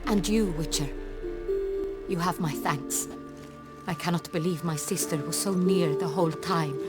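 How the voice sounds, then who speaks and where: A woman's voice: lowish voice, unhurried, over loud music, natural voice. An actress playing an imperious, proud noblewoman ruler in a fantasy game, formal, commanding and dramatic. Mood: formal, resolute. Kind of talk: theatrical fantasy game acting.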